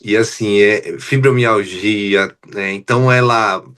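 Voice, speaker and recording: A man, a doctor, telling the host about a difficult case in an animated, chatty way during a live Q&A. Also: lowish voice, slow pace, clear, resonant tone. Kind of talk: live online Q&A.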